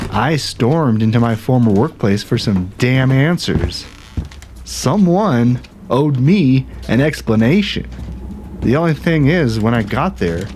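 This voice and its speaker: An actor playing an aggrieved, self-dramatizing ghostwriter in a conversational, wry, indignant audio drama monologue. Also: male voice, medium pitch, background noise. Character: aggrieved, self-dramatizing male ghostwriter telling his grievances. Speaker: narrator and protagonist.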